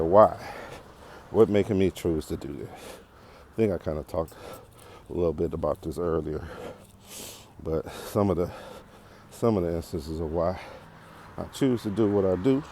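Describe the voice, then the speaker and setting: A man's voice: low-pitched, a little slow, long pauses, dark tone, slight background noise. An American man giving a casual, unscripted podcast monologue, rambling and thinking aloud while out walking. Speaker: podcast host. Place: outdoors, walking on a path.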